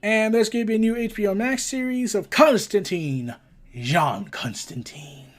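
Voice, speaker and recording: A man with a high-pitched voice hosts a casual news show. He moves between reading out reports and giving his own opinions. His manner is blunt and exasperated, in the loose style of an online commentator. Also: unhurried. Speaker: host of a pop culture and wrestling news show.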